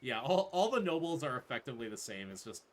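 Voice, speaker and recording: A man's voice: medium pitch, sing-song, fast, a little hiss or hum. Man casually commentating his live speedrun. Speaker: speedrunner playing the game.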